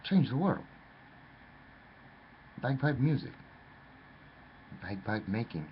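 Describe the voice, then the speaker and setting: A man's voice: mid-range voice, very animated, slow. A man telling a rambling, theatrical story, addressing the listener as "lad". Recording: dull lo-fi with faint noise.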